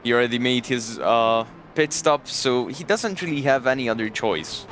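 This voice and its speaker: A man's voice: mid-range voice, animated, moderate pace, a bit bright, a little hiss or hum. A man from Hungary with a Hungarian accent, casually commentating a sim racing stream and chatting with viewers. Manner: chatty, jokes and thanks chat viewers. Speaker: race commentator.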